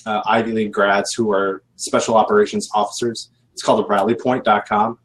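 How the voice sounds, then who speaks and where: A man's voice: lowish voice, level tone, brisk, a bit bright. A man talking casually as a guest on a recruiting panel, rambling and correcting himself as he goes. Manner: rambling, self-correcting, laid-back.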